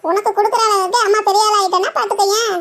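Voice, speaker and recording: A woman's voice: very high-pitched, fairly even intonation, rapid-fire, fairly bright tone. A woman voicing a polite, determined housewife and mother in a cartoon parody, speaking respectfully and reassuringly. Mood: proud.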